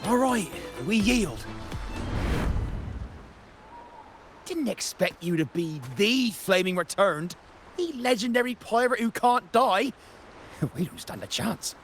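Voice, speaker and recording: A blustering video game pirate with a high, creaky voice that swings widely in pitch. He is theatrical and cowardly underneath, drawing out his words over prominent background music. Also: expressive, unhurried. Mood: resigned. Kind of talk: video game character acting.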